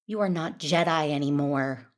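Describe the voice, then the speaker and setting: An actress playing a stern, righteous Jedi warrior with solemn, formal diction in an audio drama. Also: female voice, low voice, moderate pace, close to an everyday voice. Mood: bitter.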